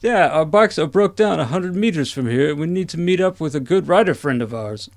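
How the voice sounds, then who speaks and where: Comedy sketch: cocky biker, played by a man, mocking drawn-out lines, higher male pitch, tense gravelly edge. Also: animated. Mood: assertive.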